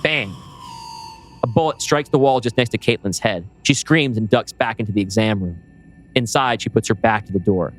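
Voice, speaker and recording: A man's voice: fairly low pitch, moderate pace. Man narrating a suspenseful screenplay. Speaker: podcast host reading his script. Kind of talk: scripted narration of screenplay action. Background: music.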